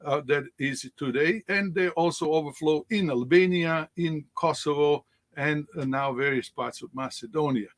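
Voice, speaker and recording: A man from Sandžak with a Bosnian accent, giving an online presentation with shared slides, halting and full of fillers, often asking "right?". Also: on the high side. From Sandžak, former Yugoslavia. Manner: halting, many fillers and restarts, rhetorical "right?". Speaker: guest speaker. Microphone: video call.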